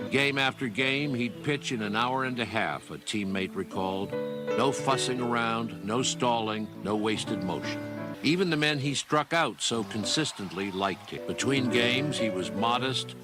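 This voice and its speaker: Man narrating over prominent guitar music. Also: mid-range voice. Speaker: documentary narrator. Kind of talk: scripted biographical narration.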